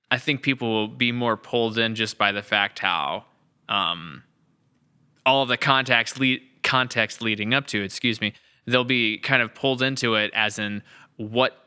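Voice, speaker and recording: A man's voice: mid-range voice, measured pace, sharp tone, clear, resonant tone. A man hosting a casual podcast interview, talking in a wordy, thoughtful, rambling way. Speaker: podcast host and series writer.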